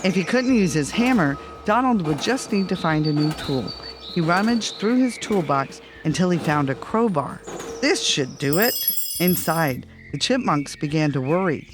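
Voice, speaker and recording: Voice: medium pitch, animated, moderate pace, over music. A storyteller reading a scripted children's bedtime story.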